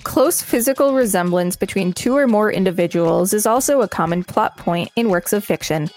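Female co-host, medium pitch, reads articles aloud and comments casually, light music behind. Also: moderate pace, a little mellow. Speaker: podcast co-host.

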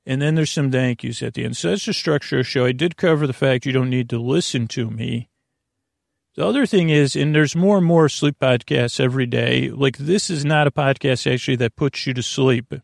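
Guy doing a rambly sleep podcast, gravelly creaky voice, mumbly and halting, really dry close sound. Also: medium pitch, no echo. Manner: meandering, mumbling, digressive, halting. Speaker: podcast host and bedtime storyteller.

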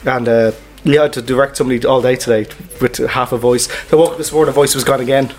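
A man hosting a casual chat podcast from a pub, talking in a chatty, animated way. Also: medium pitch, faint music behind. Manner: chatty, animated, quick storytelling. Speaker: podcast host and voiceover trainer.

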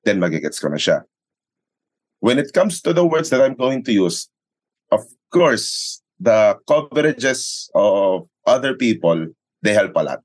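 Low-voiced Filipino man, explaining conversationally. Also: sing-song, a little slow, low-quality recording. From Philippines. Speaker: sports radio play-by-play commentator and arena announcer. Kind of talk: conversational interview, mixing English and Tagalog.

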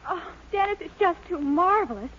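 Very high-voiced, gushing sweetheart. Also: female voice, animated, moderate pace. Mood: gushing, amazed. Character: sweet, wide-eyed, adoring young girlfriend. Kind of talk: 1940s radio comedy acting. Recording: dull old radio, faint noise and audience.

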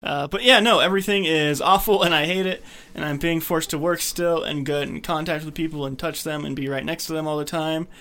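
A man's voice: on the high side, steady tone, fairly quick, pushed and bright. A man hosting a podcast in casual group chat, with a sarcastic, teasing manner. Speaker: podcast host.

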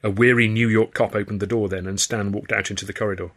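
Bright-voiced English man narrating. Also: on the low side, fairly even intonation, fast talker. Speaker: author and audiobook narrator. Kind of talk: dramatic first-person storytelling narration.

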